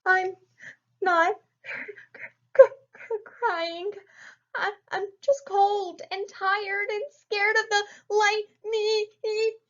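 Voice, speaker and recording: A woman's voice: very high voice, fairly even intonation, very slow, pauses often, voice pushed up, full character voice. A woman voicing a small, plucky, stubborn young male truck in a children's picture book, with a playful, exclamatory delivery. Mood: tearful, stammering. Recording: muffled lo-fi recording.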